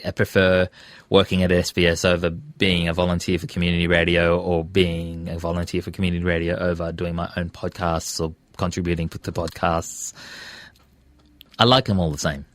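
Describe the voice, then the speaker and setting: A man with a low-pitched voice and an Australian accent speaks casually as a guest in an interview. He circles around his points, hesitating and rephrasing as he goes. The sound is close and dry. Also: level tone, moderate pace, a bit bright, no room sound. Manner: hesitant, self-correcting, discursive. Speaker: interview guest, radio presenter and podcaster.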